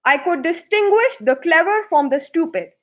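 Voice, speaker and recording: Woman reading a vain emperor, high-pitched. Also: animated, normal speed. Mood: eager, self-satisfied. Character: vain, self-absorbed male emperor, scheming and self-assured.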